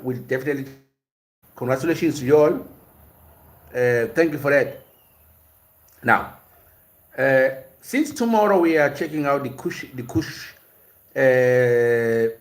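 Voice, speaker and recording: A man hosting a live-streamed language and culture lesson, explaining in a hesitant way with frequent fillers and repeated words, and answering viewers' comments. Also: a little high. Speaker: host of a language and culture series.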